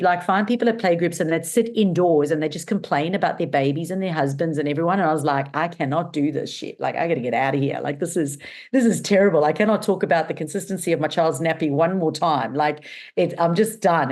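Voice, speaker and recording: A middle-aged woman with a mixed South African and Australian accent, talking as an interview guest. Her voice sits low for a woman. She tells her story in an animated, chatty way, full of fillers. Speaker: guest, business owner. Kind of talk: casual interview chat.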